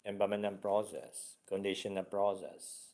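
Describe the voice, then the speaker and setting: A man guiding a meditation as a teacher, speaking deliberately in short, halting phrases with much repetition. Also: on the low side, level tone, a little mellow.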